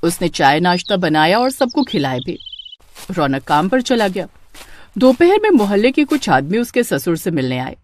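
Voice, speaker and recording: A woman's voice: fairly low pitch, very animated, moderate pace, slight background noise. A woman narrating a scripted moral tale as a storyteller.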